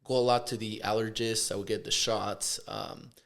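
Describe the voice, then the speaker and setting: A man from Puerto Rico, a chiropractor, chatting casually in an interview, relaxed and hesitant with many fillers. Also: lowish voice, normal speed, gravelly. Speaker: chiropractor, guest.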